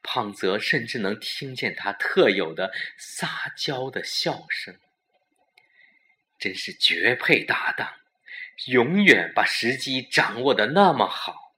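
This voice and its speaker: A man narrating a scripted suspense story in a dramatic, deliberate storytelling manner. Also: mid-range voice, sing-song, bright, forceful tone.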